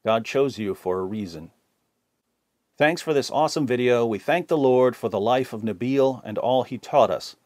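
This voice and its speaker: A man reading viewer comments aloud in a plain, steady voice. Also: mid-range voice, normal speed, no echo, studio quality.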